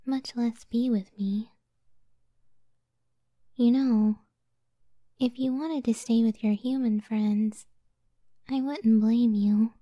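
Woman voicing a soft, mellow, half-whispering gentle giantess. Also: a little high, steady tone, dry recording. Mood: wistful, insecure. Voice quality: soft, breathy, often near whisper. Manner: slow, tender, unhurried. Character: gentle, self-doubting giant cyclops wife, devoted and protective. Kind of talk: ASMR roleplay, soft intimate half-whispered speech.

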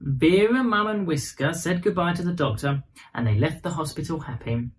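A man's voice: on the high side, lively intonation, normal speed, slight background noise. A man in his thirties reading a children's bedtime story expressively. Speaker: storybook reader.

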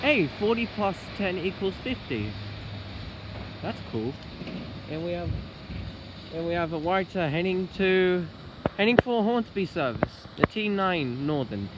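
High-voiced man spotting trains outdoors. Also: sing-song, a little slow, long pauses. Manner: rattles off train numbers and stops, self-correcting. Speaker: train vlogger. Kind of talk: casual train-spotting commentary. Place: outdoors at a railway station and footbridge. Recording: loud outdoor noise on phone mic. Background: passing trains and outdoor traffic noise.

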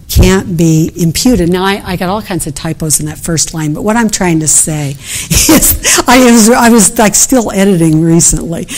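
A woman's voice: lowish voice, a little mellow. A middle-aged woman giving an unscripted academic keynote, thinking aloud over her slides and often restarting sentences. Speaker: keynote speaker. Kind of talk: academic conference talk.

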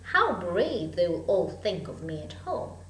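A woman's voice: fairly low pitch, sing-song, measured pace, pitched below the performer's own voice. A woman voicing a curious, chatty little storybook girl who muses earnestly to herself. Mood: wondering. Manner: wondering, earnest self-talk. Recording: echoey room, hum and background noise.

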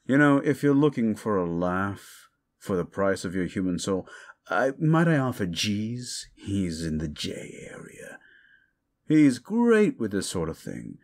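A man playing a condescending, sardonic ancient demon in an audio roleplay, gravelly, with theatrical, mocking delivery. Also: lowish voice, sing-song, a little slow. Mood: bemused, exasperated. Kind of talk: audio roleplay monologue addressed to the listener.

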